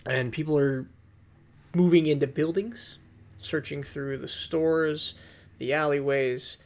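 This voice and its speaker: Game master, male, gravelly and a touch high, halting narration with fillers, online call mic. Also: fairly even intonation. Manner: hesitant narration with many fillers. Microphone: computer mic on an online voice call.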